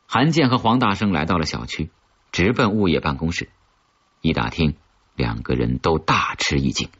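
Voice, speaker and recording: Very deep-voiced man narrating suspense. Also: lively intonation, normal speed, fairly bright tone, breathy edge, dull audio. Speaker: audiobook narrator. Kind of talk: scripted narration of a horror-suspense novel.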